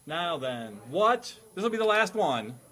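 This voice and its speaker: A man's voice: on the high side, big pitch swings, measured pace, pushed and bright. A man hosting a podcast quiz, mixing scripted introductions with playful questioning in a mock-schoolmasterly manner. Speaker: quiz show host.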